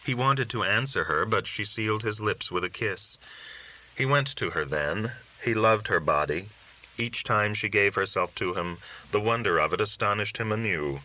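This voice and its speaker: A man narrating a literary story in a measured, solemn reading style. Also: fairly low pitch, bright, forceful tone, dull audio. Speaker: audiobook reader.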